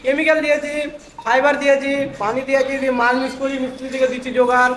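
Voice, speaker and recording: Very high-voiced, rapid-talking man. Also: little pitch movement, fairly bright tone. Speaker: construction labourer. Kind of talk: casual on-the-spot chat. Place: building site. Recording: echoey site with faint background noise.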